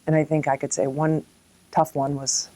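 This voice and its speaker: Low-voiced woman, halting and earnest. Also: little pitch movement, warm tone. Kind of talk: reflective personal interview.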